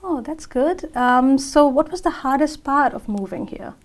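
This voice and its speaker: A woman hosts a TV interview and asks her guest questions in a friendly way. Her voice sits fairly high, and she reacts warmly to each answer before moving on to the next question. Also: expressive, a little slow. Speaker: TV show host.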